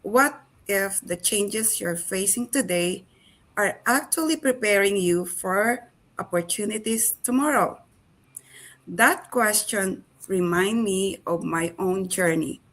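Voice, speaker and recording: An older woman from the Philippines, a panelist who speaks in a slow, reflective way. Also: medium pitch, fairly bright tone.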